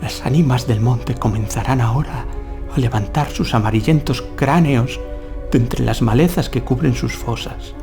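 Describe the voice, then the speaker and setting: A man's voice: mid-range voice, moderate pace, vocal fry, music in the background, lightly acted. An actor playing a brave young nobleman haunted by dread, speaking in a solemn, declamatory way in a gothic horror audio tale. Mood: fearful dread.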